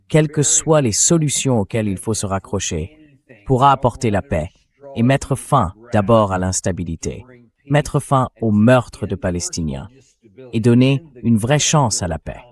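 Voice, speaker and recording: Male interview host, lower-pitched, translated voice-over for political discussion. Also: animated, a little slow, darkish tone.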